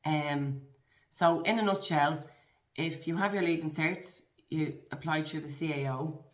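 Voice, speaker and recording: Irish woman in her forties, very low voice, earnest and encouraging, echoey room. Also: a little slow. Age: 40s. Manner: earnest, encouraging, with frequent restarts. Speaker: guest. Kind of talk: conversational interview.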